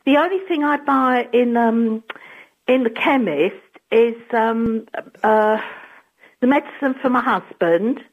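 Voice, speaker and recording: Woman, high voice, informal phone talk, hesitant, repeats herself. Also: takes it slowly, husky, phone-quality sound. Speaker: radio phone-in listener.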